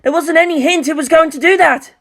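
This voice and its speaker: A woman voicing an earnest, panicky young male officer in a story reading, reporting in a hurried, urgent way. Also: very high-pitched, voice pushed up. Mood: panicked. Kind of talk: dramatic audiobook character acting.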